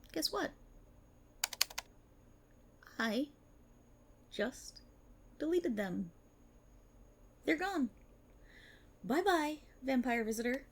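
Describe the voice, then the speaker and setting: Woman acting a smug, theatrical policewoman, swooping pitch. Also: slight background noise, heavily acted. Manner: theatrical, sarcastic, mocking. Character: smug, vindictive female multiverse police officer interrogating a writer. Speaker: ASMR roleplay performer. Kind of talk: ASMR roleplay acting.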